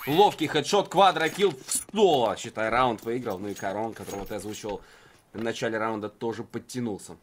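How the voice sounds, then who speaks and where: A man's voice: lowish voice, a little fast, slight background noise. A man giving conversational live esports commentary in a wry, joking manner.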